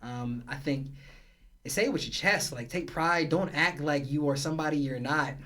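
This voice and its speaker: A man guesting on a casual podcast, telling stories in a lively, slang-heavy way. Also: fairly high pitch, normal speed. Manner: animated storyteller, heavy slang.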